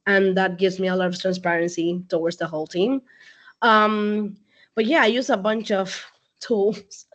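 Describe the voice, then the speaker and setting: A woman, a company CEO, speaking casually as a guest on a video call, laughing often and filling pauses with "um". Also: mid-range voice, steady tone. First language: Spanish. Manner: chatty, laughs often, many fillers. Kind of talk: casual online talk.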